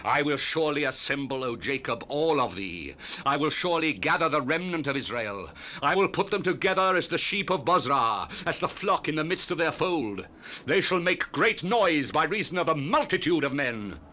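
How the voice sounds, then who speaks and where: Bright-voiced, impassioned doom-proclaiming prophet. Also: male voice, a little high, sing-song, normal speed, a little hiss or hum. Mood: solemn warning. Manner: declamatory, solemn, theatrical. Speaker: dramatized Bible reader. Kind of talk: dramatic scripture reading, archaic English. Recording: 1970s audio cassette dramatization.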